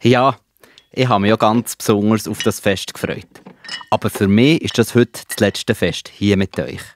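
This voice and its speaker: A man with a Swiss German accent playing a caring, solemn teacher in a children's Bible audio play, calm and earnest. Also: lowish voice, moderate pace, a little hiss or hum, lightly acted.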